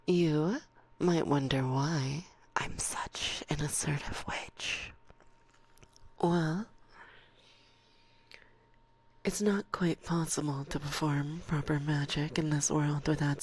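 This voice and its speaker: Low, breathy forest witch, acted by a woman. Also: exaggerated voice, low-quality recording. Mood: lecturing. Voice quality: soft, breathy, often slipping into whisper. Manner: slow, deliberate, teasing and condescending. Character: assertive forest witch, teasing, stern yet fond mentor. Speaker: ASMR roleplay performer. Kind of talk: binaural ASMR roleplay, soft and whispery. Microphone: close binaural microphone.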